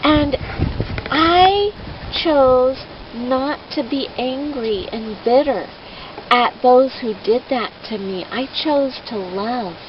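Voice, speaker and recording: A gentle little storybook flower, voiced by a woman, speaks slowly and earnestly in a very high, lively voice. It sounds content and forgiving, on a noisy recording. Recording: muffled, noisy amateur recording.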